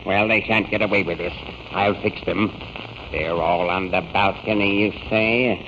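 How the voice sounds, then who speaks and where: An actor playing a proud, scheming army general in a 1930s radio serial, blustering and imperious. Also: male voice, lowish voice, steady tone, unhurried. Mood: scheming, determined. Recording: noisy, dull old radio recording.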